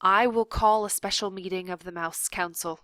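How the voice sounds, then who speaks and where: A woman's voice: mid-range voice, fairly even intonation, normal speed, fairly bright tone, no echo, natural voice. A woman voicing a pompous, fretful old male mouse father, with theatrical outbursts. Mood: blustering.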